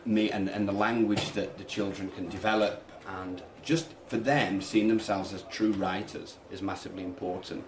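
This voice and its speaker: A man's voice: on the low side, little pitch movement, normal speed, few pauses, background noise. A man who works as a primary school teacher, explaining things earnestly and conversationally in a radio interview. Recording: roomy echo, people chattering behind.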